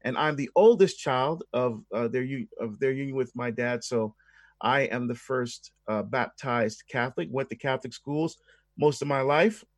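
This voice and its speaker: A man's voice: mid-range voice, moderate pace, no room sound. A man with an American accent speaking as an interview guest, explaining things conversationally. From Barbados and the United States.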